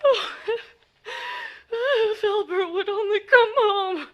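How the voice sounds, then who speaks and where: An actress playing a nervous, demanding, indignant bedridden wife on the telephone in a 1940s-style radio play. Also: female voice, very high voice, slow pace, lo-fi sound, background noise. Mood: anxious, fretful. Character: imperious, hysterical bedridden invalid wife, frantic on telephone.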